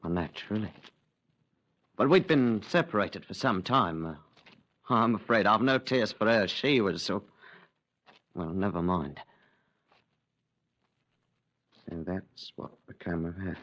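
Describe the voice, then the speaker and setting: A man's voice: sits low, lively intonation, a little slow, long pauses, fairly bright tone, dull audio, full character voice. An actor playing a nervous, evasive man suspected of murdering his wife, stammering and fumbling his words in a 1950s radio drama. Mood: sullen.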